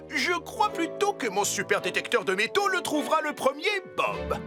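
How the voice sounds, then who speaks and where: A woman's voice: sits high, sing-song, moderate pace. A woman playing a self-assured, boastful, chatty treasure hunter in a French children's cartoon. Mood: confident. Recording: music behind the voice.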